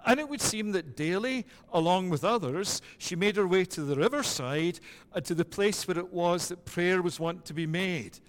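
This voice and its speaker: A middle-aged Scottish man preaching a sermon as pastor, measured and deliberate, with a habit of repeating "it is that.". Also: sits high, in a large hall. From Scotland.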